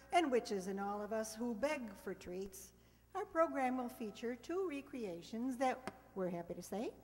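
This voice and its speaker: A woman giving a prepared, courteous stage introduction as host of a radio players' show. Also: fairly high pitch. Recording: echoey hall, live stage.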